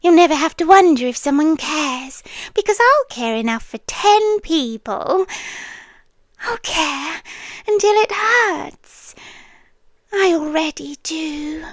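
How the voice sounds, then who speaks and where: A British woman playing an obsessive, possessive landlady girlfriend in an intimate ASMR roleplay, soft and breathy, often dropping into whispers, close to the mic. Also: high-pitched, lively intonation, no room sound, heavily acted. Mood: coaxing, possessive. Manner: slow, intimate, coaxing, possessive. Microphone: close, dry microphone.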